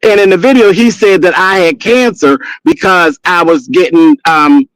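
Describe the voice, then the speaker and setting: A man's voice: sits high, normal speed, fairly bright tone, clear, resonant tone, poor audio. A man in his fifties chatting on a live stream, sassy and blunt, telling gossip with some cussing. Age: 50s. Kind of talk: casual chat and storytelling.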